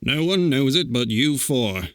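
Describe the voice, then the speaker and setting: A timid old humbug of a wizard , acted out by a male storybook reader, with a trembling, pleading voice. His pitch swings about expressively, and the recording is close and dry. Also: animated, measured pace, dry recording, natural voice. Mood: confessing.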